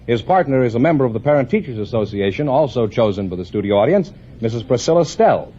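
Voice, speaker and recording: A mellow American male announcer with a lively, moving delivery. He speaks in 1950s radio style, on a muffled old recording. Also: mid-range voice, fast, a little hiss or hum. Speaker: show announcer.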